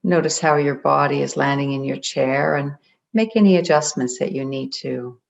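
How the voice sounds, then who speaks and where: A meditation guide leading a group in a gentle, deliberate way, leaving long pauses between phrases. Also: mid-range voice, gravelly. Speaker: meditation guide, spiritual coach. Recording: dull, muffled lo-fi audio.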